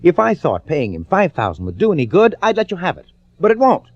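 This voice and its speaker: An American actor playing a smooth, ambitious, ruthless prosecutor in a radio suspense drama, urgent, commanding and calculating. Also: male voice, high voice, wildly swooping pitch, fast talker, dry recording, heavily acted. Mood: firm, persuasive. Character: scheming, smooth, ambitious prosecutor, outwardly friendly, privately ruthless. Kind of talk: 1940s radio acting, with dramatic first-person narration. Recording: dull old-time radio recording.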